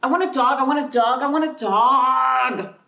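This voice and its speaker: A woman's voice: high-pitched, normal speed, vocal fry, pushed and bright, far from the mic, pitched above the performer's own voice, heavily acted. A woman voicing a little girl who begs over and over for a pet. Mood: pleading. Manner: whiny repeating chant. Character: little girl begging for pets. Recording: roomy sound from away from the mic.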